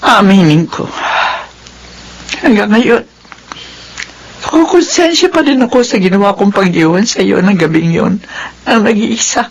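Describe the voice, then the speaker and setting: An actress playing a loving, remorseful middle-aged mother in a Filipino radio drama, with halting, heartfelt delivery. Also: medium pitch, expressive, a little hiss or hum. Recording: radio drama with music underneath.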